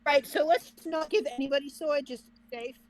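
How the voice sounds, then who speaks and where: High-voiced woman chatting casually. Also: moderate pace, darkish tone, slight background noise. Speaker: reaction video co-host. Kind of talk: casual reaction chat.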